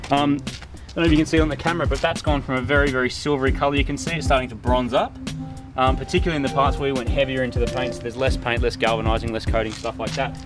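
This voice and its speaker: A man's voice: medium pitch, fairly even intonation, racing, music in the background. An Australian man explaining car work to camera in a casual, chatty, matey way. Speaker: host of a car modification show.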